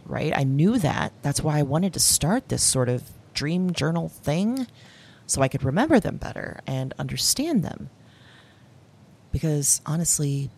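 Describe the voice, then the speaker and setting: An actress playing a lonely, awkward office worker, confiding haltingly and candidly in an audio diary drama. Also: female voice, deep voice, a little mellow, husky, full character voice. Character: lonely, awkward woman office worker keeping a dream journal.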